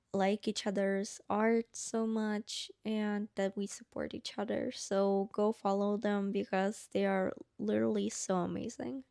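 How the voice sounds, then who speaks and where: Slow, halting woman vlogging. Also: medium pitch, darkish tone. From Ukraine. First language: Ukrainian. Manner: hesitant, many fillers and restarts. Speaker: artist vlogger. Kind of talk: casual get-to-know-me Q&A. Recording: echoey room, mic at a distance.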